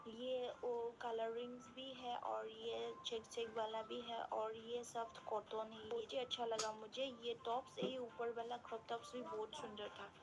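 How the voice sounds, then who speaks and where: A woman's voice: on the high side, little pitch movement, measured pace, few pauses, rough recording. A young woman, chatting casually through a shopping vlog and praising cheap prices over and over. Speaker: shopping vlogger. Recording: voice-over over loud background music.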